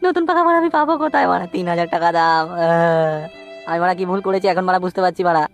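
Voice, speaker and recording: A man plays a boastful young cartoon slacker with a high voice. He swears and blusters at a very rapid clip, with music underneath. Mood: regretful. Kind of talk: comedy cartoon dialogue.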